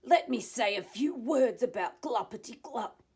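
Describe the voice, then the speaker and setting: A woman's voice: a little high, lively intonation, measured pace, a little mellow, breathy edge, full character voice. A woman voicing an indignant male storybook creature who scolds in emphatic rhyme to defend nature. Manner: emphatic, rhyming accusations.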